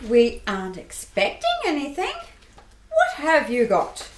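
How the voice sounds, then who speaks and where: A woman putting on a gushing, high-pitched lady. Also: huge pitch swings, a little slow, roomy sound, slight background noise. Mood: doubtful. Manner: gushing, squealing delight. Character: excitable elderly-home sister and wife Molly, woman. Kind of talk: amateur radio play acting.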